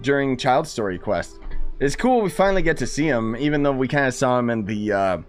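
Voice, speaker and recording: A young adult streamer comments casually on a game. The pitch moves around a lot, and the delivery is slangy, exasperated and sarcastic, with faint music behind it. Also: animated, a little fast. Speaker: gaming streamer.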